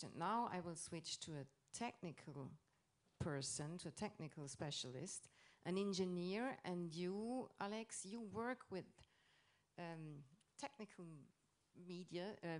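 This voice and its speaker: A woman moderates a panel, her voice on the low side. She speaks in a hesitant way, with frequent "um" and "uh".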